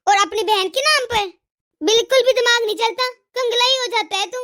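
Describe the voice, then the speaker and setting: A woman voicing a scolding, sarcastic, demanding mother-in-law in an animated family drama. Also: very high voice, normal speed. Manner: nagging, sarcastic. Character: scolding, demanding mother-in-law, fiercely partial to her daughter.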